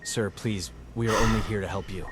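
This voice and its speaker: A man playing an earnest, troubled young doctor in a horror audio drama, speaking in a sober, measured way. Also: on the low side, steady tone, loud music behind the voice, close to an everyday voice. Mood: calm, urgent. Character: troubled young male doctor, earnest and guilt-ridden narrator. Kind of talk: horror audio drama dialogue and first-person narration.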